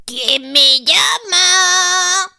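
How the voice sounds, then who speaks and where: A woman voicing a very old, wise and imperious witch in a children's storybook, with a shrill, drawn-out delivery. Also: animated, vocal fry, bright, forceful tone, full character voice. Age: older. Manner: slow, drawn-out, declaiming.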